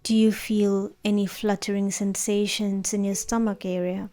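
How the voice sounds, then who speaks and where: A woman narrating a guided meditation in a gentle, soothing, unhurried manner. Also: mid-range voice, flat delivery. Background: background music.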